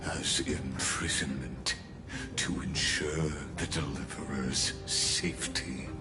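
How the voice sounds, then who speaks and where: An actor playing a cunning male scholar in a video game, theatrical and grandiose, slyly flattering his ruler. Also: extremely low pitch. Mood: sly, probing. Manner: theatrical, grandiose, drawn-out delivery. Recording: music under the voice.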